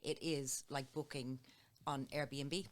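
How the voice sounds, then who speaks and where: A middle-aged woman, a hotel sales manager, calmly explaining her work as an interview guest, often listing examples. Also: a bass voice, takes it slowly. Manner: plain, steady explaining with listed examples.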